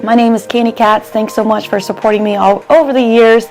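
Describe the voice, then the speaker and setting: A woman's voice: a little high, fairly even intonation, a little fast, a little mellow. Earnest American woman over background music. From United States. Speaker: real estate agent and mortgage loan originator. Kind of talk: personal testimonial to camera.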